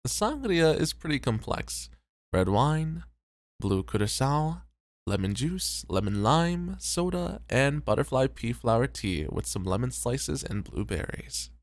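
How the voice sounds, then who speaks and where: A man playing a tired, popular host and teasing flirt in an ASMR roleplay, with relaxed banter. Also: mid-range voice, unhurried, no room sound. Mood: casual, modest.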